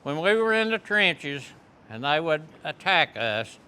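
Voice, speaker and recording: A man, a veteran telling his story to a high school class in a plain, folksy, unhurried way. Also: big pitch swings, sharp tone, clean tone. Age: 70s. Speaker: veteran guest speaker. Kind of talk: classroom talk to students.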